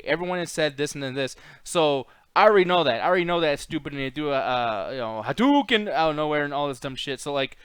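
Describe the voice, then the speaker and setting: A man hosting a casual chat show, arguing about films in an animated, profane, slangy way. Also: fairly high pitch, clean tone, no echo. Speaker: podcast host.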